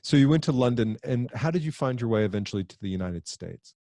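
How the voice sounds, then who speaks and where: A man's voice: medium pitch, lively intonation, fast, mellow, no room sound, studio quality. A man with a Southern US accent hosting a podcast interview, asking plain questions in a self-deprecating way. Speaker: podcast host.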